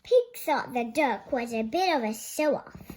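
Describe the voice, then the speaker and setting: A child reading a picture book story aloud, halting at times and correcting slips along the way. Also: medium pitch, animated, darkish tone. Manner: halting young reader, stumbles and self-corrects. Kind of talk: read-aloud story narration.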